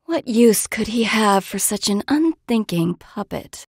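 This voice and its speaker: A woman playing a haughty, skeptical villain commander in a fantasy game, speaking in a measured, disdainful way. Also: mid-range voice, expressive, slightly rough, no room sound, studio quality. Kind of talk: fantasy video game voice acting.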